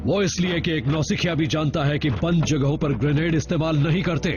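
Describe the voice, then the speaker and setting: A man playing a gruff veteran robot officer in a cartoon dub, scolding with stern sarcasm. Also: a little high, fairly quick, close to an everyday voice. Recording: dull audio, music behind.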